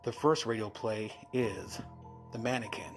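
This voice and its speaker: A man hosting with a scripted introduction, phrased in a measured, deliberate announcer manner. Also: on the low side, steady tone. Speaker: host. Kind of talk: scripted introduction to old radio shows. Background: music.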